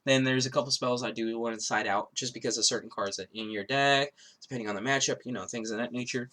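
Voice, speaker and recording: A man's voice: medium pitch, steady tone, quick pace, fairly bright tone. A man who is a little sick, with a stuffy nose and sore throat, casually walking through a card game deck, rambling with lots of fillers. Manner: rambling, filler-heavy, restarts often.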